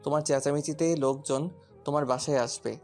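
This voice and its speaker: A man's voice: fairly high pitch, steady tone, a little fast, darkish tone, close to an everyday voice. A man acting a brash, possessive young man. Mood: taunting. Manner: taunting, stubborn, commanding. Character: brash, possessive young man, often drunk, teasing and menacing. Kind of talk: Bengali romantic audio story acting. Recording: music bed behind the voice.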